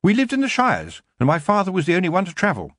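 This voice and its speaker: A man voicing a quiet, polite young country lad who hopes to be a witch, in an audiobook. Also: sits high, huge pitch swings, quick pace, no room sound, clean studio sound, pitched above the performer's own voice, heavily acted. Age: young adult. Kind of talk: audiobook character voice.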